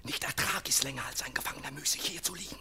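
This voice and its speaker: An actor playing a hot-tempered young male fugitive in a classic German radio play, his husky voice often dropping to urgent whispers. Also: mid-range voice, quick pace, pushed and bright. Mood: restless whispering. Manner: urgent, anguished outbursts.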